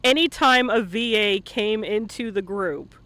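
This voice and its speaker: A man's voice: high-pitched, a little slow, bright, forceful tone, no room sound. A man hosting a casual livestream interview, chatting and telling stories in a playful way. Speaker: interviewer and stream host. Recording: live online stream audio.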